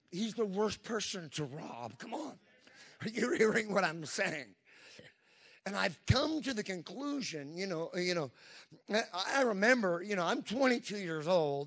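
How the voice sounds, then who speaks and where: A man in his fifties preaching a Pentecostal sermon, emphatic, with frequent call-and-response asides to the congregation. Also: high-pitched. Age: 50s.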